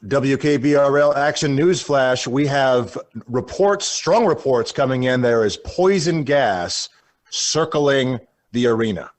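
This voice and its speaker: A man's voice: medium pitch, animated, measured pace, lightly acted. A man playing an alarmist radio news anchor who delivers breaking news solemnly, repeating and stumbling over himself. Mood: urgent, flustered.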